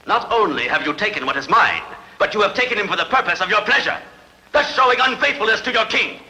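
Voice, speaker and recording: A man's voice: high-pitched, animated, fast talker, pushed and bright, exaggerated voice. A man dubbing an aggrieved, jealous ancient nobleman, speaking in a formal and pointed courtly manner. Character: aggrieved, jealous ancient nobleman accusing before his king. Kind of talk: dramatic film dubbing. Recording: long hall reverb.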